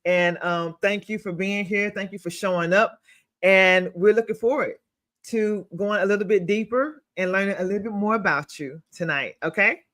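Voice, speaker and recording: Woman host, emphatic, reads guest intros with pauses for drama. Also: mid-range voice, a bit bright. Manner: emphatic, pausing between words.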